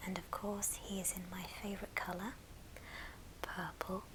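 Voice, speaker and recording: A woman whispering softly and breathily in a gentle, affectionate ASMR show and tell. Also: mid-range voice, flat delivery, moderate pace, background noise. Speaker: ASMR video host.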